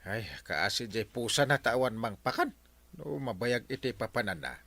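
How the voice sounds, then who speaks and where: An actor with an Ilocano accent playing a fretful, excitable village captain in a radio comedy drama, exclaiming and grumbling. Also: male voice, mid-range voice, moderate pace, vocal fry, exaggerated voice. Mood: worried grumbling. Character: fretful, excitable barangay captain, comically exasperated man.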